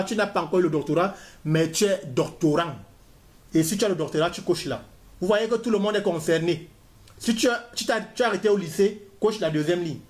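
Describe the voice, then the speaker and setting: A man's voice: sits high, darkish tone. A man giving a step-by-step tutorial, repeating key points as he fills in an online form. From Cameroon. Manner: talks viewers step by step through a form, repeating himself. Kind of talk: screen-recorded walkthrough.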